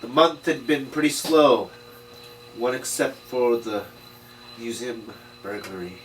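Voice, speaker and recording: A man's voice: medium pitch, slow pace, a bit bright. Man reading as cynical noir detective, distant mic. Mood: wry, grim. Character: world-weary middle-aged male 1930s private detective, wry, cynical. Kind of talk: noir voice-over and dialogue at a table read. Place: indoor table read, recorded on camera. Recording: distant mic, faint room noise.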